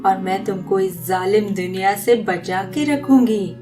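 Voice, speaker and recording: A woman's voice: mid-range voice, far from the mic, over music, natural voice. A woman voicing a wicked, possessive witch in a cartoon fairy tale, theatrical and drawing out her words. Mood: doting, possessive. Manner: theatrical, drawn-out words with cackling laughter. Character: wicked, possessive witch posing as doting mother.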